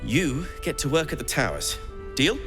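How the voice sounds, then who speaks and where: Fussy, swooping eccentric alchemist. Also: male voice, fairly high pitch, big pitch swings, moderate pace, fairly bright tone, soft background music. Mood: eager, proud. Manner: dithering, repeats himself, florid old-fashioned wording. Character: fussy, excitable eccentric male alchemist inventor, dithering. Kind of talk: video game character acting.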